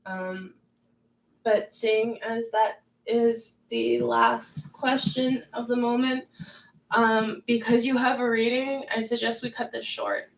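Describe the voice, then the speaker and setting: A woman co-hosting a live stream, reading viewer questions slowly and hesitantly. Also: fairly high pitch, steady tone, creaky, far from the mic, a little hiss or hum, rough recording. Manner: slow, hesitant, with fillers.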